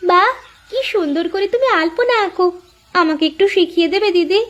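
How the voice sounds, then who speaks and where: A woman's voice: extremely high pitch, a little fast, fairly bright tone, dull audio. A woman voicing a devoted, eager younger co-wife in a village cartoon, with a pleading, girlish, deferential manner. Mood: eager, insistent. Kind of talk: cartoon voice acting.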